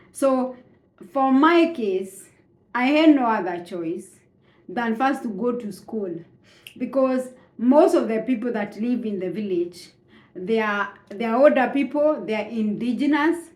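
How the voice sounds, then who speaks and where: A woman's voice: a little high, reverberant. A Kenyan woman whose first language is Swahili, telling her own story in a hesitant way with many fillers and restarts. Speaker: immigrant sharing her story. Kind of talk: slow reflective personal account.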